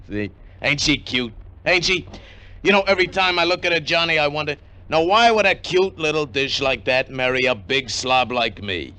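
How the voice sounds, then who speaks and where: A big, jovial, simple-minded American slob in a 1950s radio play. His voice is bright and forceful, leaping up and down in pitch. He talks in a folksy, boisterous, repetitive way. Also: lively intonation, moderate pace, smooth. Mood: tickled, giddy. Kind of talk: 1950s radio drama acting.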